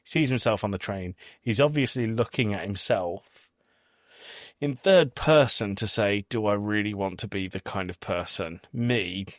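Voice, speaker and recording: A man's voice: lowish voice, a little slow, no echo, clean studio sound. A man hosting a podcast in casual, emphatic, opinionated conversation. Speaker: podcast host. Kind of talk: casual chat reviewing a film.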